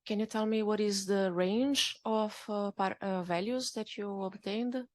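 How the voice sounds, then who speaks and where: A woman's voice: medium pitch, steady tone, a little mellow, no room sound. Polite woman asking a question. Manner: polite, slightly halting. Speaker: audience member asking a question. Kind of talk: conference question.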